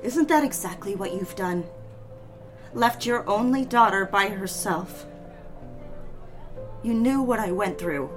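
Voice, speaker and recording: A woman's voice: medium pitch, expressive, slow, long pauses, faint music behind. A woman playing a needy, defiant, newly married daughter in an audio drama, theatrical and pleading. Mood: hurt, accusing. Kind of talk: dramatic audio fiction with first-person narration.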